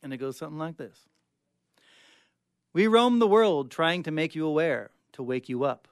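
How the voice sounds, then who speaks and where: A man with a clear, smooth voice giving a dramatic reading of short stories as a guest reader. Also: a little high, normal speed, dry recording, studio quality. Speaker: guest reader of short fiction on a radio show.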